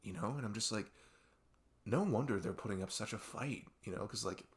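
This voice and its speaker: A young man playing a best friend who opens up in an intimate ASMR deep talk, rambling and halting, earnest and reflective. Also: lightly acted. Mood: earnest, musing. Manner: rambling, halting, reflective, frequent "like" and "you know". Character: earnest male best friend opening up late at night. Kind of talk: intimate ASMR audio roleplay, unscripted-sounding deep talk.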